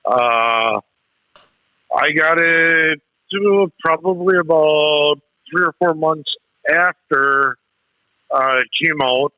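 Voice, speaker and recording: A man calling in to a radio show, talking casually in halting phrases with pauses. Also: a little high, no echo, muffled recording. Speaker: radio show caller, truck driver. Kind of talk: casual phone-in chat.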